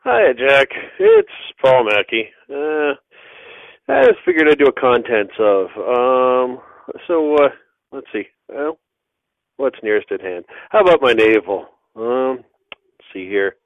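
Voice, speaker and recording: A man rambling slowly and hesitantly, his pitch swinging widely between fillers. The voice comes through dry and tinny, like a phone line. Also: big pitch swings, no echo. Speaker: listener sending a voice message.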